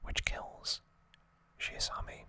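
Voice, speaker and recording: Someone doing a slow asmr trivia recap, all whispered, crisp and bright, kinda informal. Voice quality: soft unvoiced whisper. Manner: unhurried, informal, explanatory. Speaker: ASMR creator and host.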